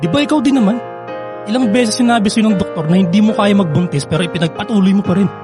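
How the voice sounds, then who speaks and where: An actor with a Filipino accent playing a grieving, guilt-ridden young husband pleading in an emotional radio drama. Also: male voice, sits high, fast talker, over music, natural voice. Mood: anguished, defensive.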